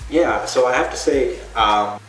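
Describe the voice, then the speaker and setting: A man from the northeastern United States, a guest chatting casually on an interview show, relaxed and full of fillers. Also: mid-range voice, measured pace. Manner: relaxed, with many fillers and restarts. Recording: echoey room from a distant mic.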